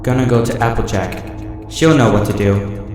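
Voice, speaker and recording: A man's voice: mid-range voice, normal speed, a little mellow, lightly acted. A man voicing the inner thoughts of an anxious young female pony in a horror story reading. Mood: anxious, resolving. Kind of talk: horror fan fiction reading. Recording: echo and long reverb over music.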